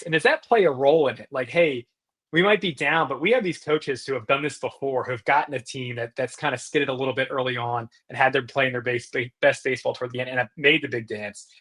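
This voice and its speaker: A man's voice: medium pitch, brisk, fairly bright tone. A man hosting a sports podcast, asking long, winding interview questions. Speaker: podcast host.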